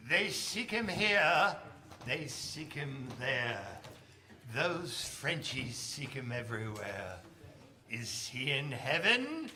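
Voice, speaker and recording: A man's voice: mid-range voice, very animated, takes it slowly, sharp tone, a little hiss or hum. A man delivering a theatrical, scripted promotion for a stage show. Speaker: announcer promoting the next show. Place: indoor library stage performance.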